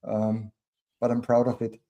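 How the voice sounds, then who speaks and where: A man with a German accent speaks as a podcast guest at an ordinary middle pitch. He talks earnestly, circling back on his words as he thinks aloud. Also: completely flat delivery, a little slow, mellow. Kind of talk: casual conversation.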